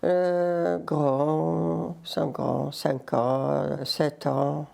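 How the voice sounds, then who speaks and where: An older Berber-speaking woman from Morocco and Algeria with a North African accent, telling her life story in halting French mixed with Arabic. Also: low-pitched, fairly even intonation, gravelly, darkish tone. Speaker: interviewee, grandmother. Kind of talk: casual life-story interview.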